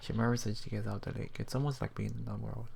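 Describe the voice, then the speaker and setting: A man's voice: lowish voice, little pitch movement, very fast, creaky, warm tone, slight background noise. A man hosting a let's play, reading visual novel text aloud with casual, musing commentary. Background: game music.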